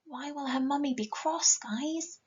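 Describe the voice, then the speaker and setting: A woman's voice: high voice, measured pace, dull audio. A middle-aged woman, a teacher reading a picture book expressively and questioning young listeners.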